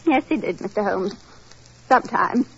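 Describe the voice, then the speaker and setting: An actress playing a guarded, loyal doctor's assistant, curt, formal and defensive, in 1940s radio drama style. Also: female voice, medium pitch, animated, normal speed, a bit bright, breathy edge, heavily acted. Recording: dull old 1940s radio recording.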